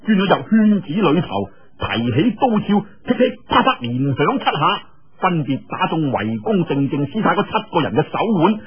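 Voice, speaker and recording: A man with a husky voice tells a martial arts story in a dramatic, colloquial style with drawn-out particles. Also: a little high, very animated. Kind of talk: animated Cantonese storytelling of a martial arts novel. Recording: dull, lo-fi radio-like audio.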